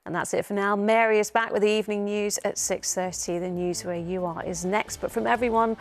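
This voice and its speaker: Gravelly-voiced woman presenting the news. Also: mid-range voice, fairly even intonation, brisk. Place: news studio.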